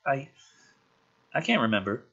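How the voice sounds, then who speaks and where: A man's voice: mid-range voice, sing-song, moderate pace, pushed and bright, husky, muffled recording, voice pushed up, full character voice. A man voicing a scared, flustered young boy with a hesitant stammer in a dramatic script reading. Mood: panicked.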